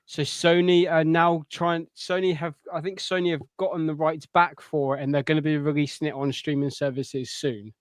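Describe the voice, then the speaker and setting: A man chatting casually about films as a podcast co-host, halting and often rephrasing as he goes. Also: fairly high pitch, flat delivery, no room sound. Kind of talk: casual film chat.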